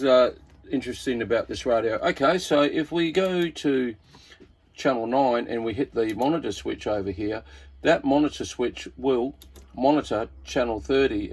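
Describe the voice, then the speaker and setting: A man's voice: medium pitch, breathy edge. An Australian man presenting a casual hobbyist product walkthrough in a laid-back, informal, slightly hesitant way.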